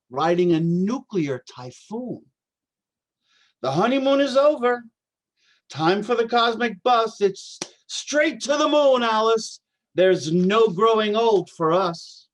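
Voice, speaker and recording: A man playing a troubled homeless young doomsday poet in a play reading, speaking in a halting, dramatic way. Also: sits high. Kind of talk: staged play reading, reciting verse.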